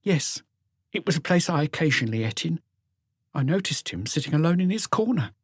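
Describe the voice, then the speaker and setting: A man voicing an anxious, grieving woman in her forties, gentle and hesitant, in an audiobook. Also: on the high side, big pitch swings, fairly quick, husky, no echo, clean studio sound, pitched above the performer's own voice. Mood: subdued distress. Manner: hesitant, apologetic.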